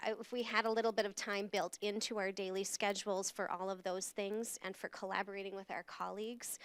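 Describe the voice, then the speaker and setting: A woman who teaches English learners answers a question in an earnest, explanatory way. Her voice is clear and smooth, recorded dry and close. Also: mid-range voice, a bit bright, dry recording.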